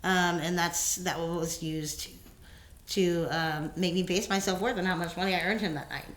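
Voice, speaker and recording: A woman co-hosting a faith podcast, affirming her partner and then telling her own testimony in a steady, articulate way. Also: lowish voice, moderate pace, echoey room. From Houston, Texas. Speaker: podcast co-host. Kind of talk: casual conversation and personal testimony.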